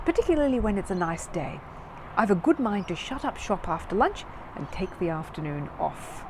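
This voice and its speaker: A woman voicing a kindly, courteous older gentleman shopkeeper in a children's storybook. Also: medium pitch, big pitch swings, moderate pace, background noise. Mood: genial, restless.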